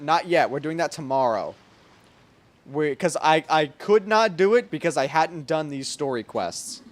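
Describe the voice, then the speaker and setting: A man streaming a game, giving informal, slangy reaction commentary in a casual chat style. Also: fairly high pitch. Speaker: gaming streamer. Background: game music.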